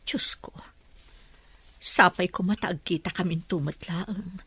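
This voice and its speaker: A woman's voice: lowish voice, slow, fairly bright tone, slightly rough, no echo, full character voice. A woman playing a lonely, prayerful mother in a radio drama, half-whispering as she misses her children. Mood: wistful, longing.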